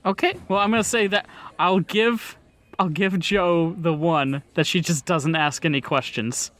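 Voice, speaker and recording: A man with a fairly high voice runs a tabletop role-playing game. He talks casually, teasing his players and narrating scenes off the cuff. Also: a little slow, fairly bright tone. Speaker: game master.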